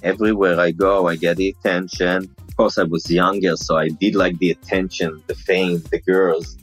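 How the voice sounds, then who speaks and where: A man with an Israeli accent and a deep, mumbling voice, telling stories bluntly and casually in an interview, with curse words. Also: level tone, normal speed, slightly rough, faint music behind. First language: Hebrew. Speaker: interview guest, celebrity impersonator.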